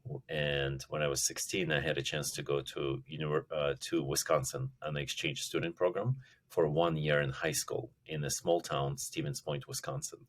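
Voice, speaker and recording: A man in his forties, from Russia, talking as a company chief in a casual interview, halting with many restarts and fillers. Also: rumbling low, fairly even intonation. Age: 40s. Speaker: company CEO guest.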